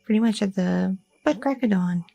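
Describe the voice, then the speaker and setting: A woman's voice: mid-range voice, normal speed, gravelly, warm tone, husky, lo-fi sound, faint music behind. A woman playing a chatty, curious young goth girlfriend in an intimate, casual ASMR audio roleplay. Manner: casual, rambling, teasing, slangy.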